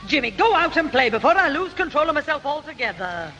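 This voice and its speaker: A woman's voice: high voice, big pitch swings, fast talker, a bit bright, dull audio. A woman playing a harried, short-tempered mother with a Northern English accent in a 1960s British radio sitcom. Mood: angry, scolding. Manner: scolding, harried. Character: exasperated, short-tempered working-class mother. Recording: faintly noisy 1960s radio broadcast.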